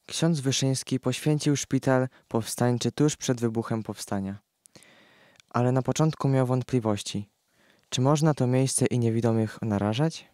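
A man's voice: medium pitch, measured pace, dark tone, no room sound. Man narrating in a dry studio. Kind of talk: scripted narration of a historical radio play.

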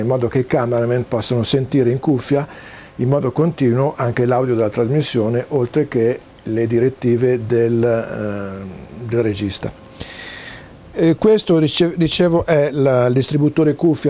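A man gives an informal, halting technical explanation to camera, pausing often as he searches for words. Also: mid-range voice, warm tone. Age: 60s. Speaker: presenter demonstrating home-built audio and video gear. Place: small cluttered home study, indoors.

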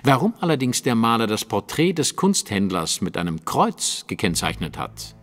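An actor playing a precise, superior, analytical detective in an audio drama, with a didactic and pointed delivery. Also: male voice, on the low side, big pitch swings, moderate pace, a bit bright, in a large hall. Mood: incisive.

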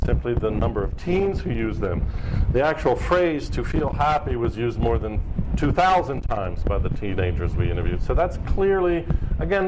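Middle-aged male lecturer, mid-pitched, measured and explanatory; lecture hall, noise underneath. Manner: measured, explanatory, lists figures steadily. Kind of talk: academic lecture.

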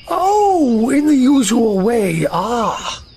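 A woman playing a slow-witted, good-natured toy bear in a children's storybook audio drama, naive and forgetful. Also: fairly high pitch, big pitch swings, a little mellow, a little hiss or hum, heavily acted. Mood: puzzled.

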